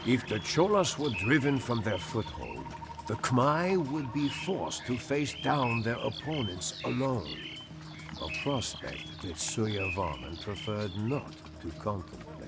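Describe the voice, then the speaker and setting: A man narrating a historical strategy game in a measured, theatrical storytelling style. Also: mid-range voice, sing-song. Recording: narration over a music bed. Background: background music.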